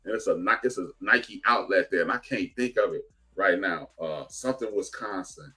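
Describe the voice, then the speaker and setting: A man's voice: low voice, moderate pace, breathy edge. A man from the Chicago area, casual podcast co-host, talking animatedly and trailing off when searching for a word. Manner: animated, loud bursts when excited, trails off searching for words. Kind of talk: casual chat.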